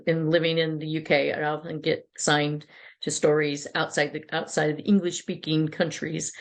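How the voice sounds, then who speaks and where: A woman's voice: sits low, a bit bright. A middle-aged woman, a PR agency founder, telling travel stories in a chatty interview with many restarts and asides. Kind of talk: casual interview storytelling.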